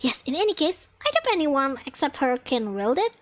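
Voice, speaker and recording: A woman voicing a composed young engineer student from an anime game, measured and explanatory. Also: high-pitched, big pitch swings, voice pushed down. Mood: calm, measured. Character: composed young female engineer student, matter-of-fact senior.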